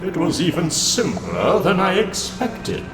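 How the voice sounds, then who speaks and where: A man's voice: sits high, huge pitch swings, a little slow, full character voice. A man playing Doctor Doom, an arrogant, menacing, scheming supervillain with a grandiose, theatrical delivery in a comic book dramatization. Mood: smug. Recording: echoing villain voice under loud music.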